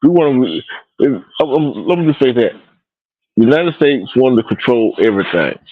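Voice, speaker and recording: A man hosting a casual podcast, talking in a halting, preachy way with many stops and fillers. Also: mid-range voice, big pitch swings, no room sound. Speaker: host. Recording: dull, dry lo-fi audio.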